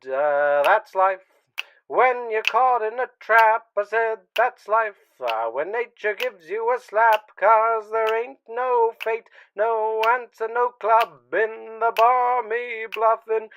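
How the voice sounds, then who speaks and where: A man delivering slow, song-like theatrical lines in a radio drama. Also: sits high, fairly bright tone. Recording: dull lo-fi sound, faint noise.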